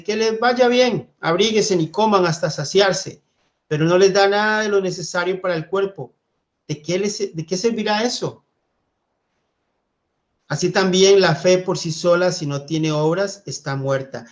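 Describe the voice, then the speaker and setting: A man from Costa Rica leading a church Bible study, speaking gently and thoughtfully, with hesitant fillers. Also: sits high, highs cut off. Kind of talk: Bible study teaching and reading scripture.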